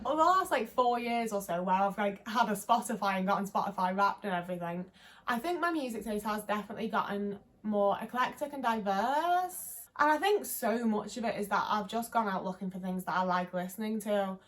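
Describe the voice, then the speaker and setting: A woman's voice: medium pitch, lively intonation, fairly quick. A young woman in her twenties with a Liverpool accent, chatting casually to camera in a rambling, candid and sweary way, full of "like" fillers. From England. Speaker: vlogger.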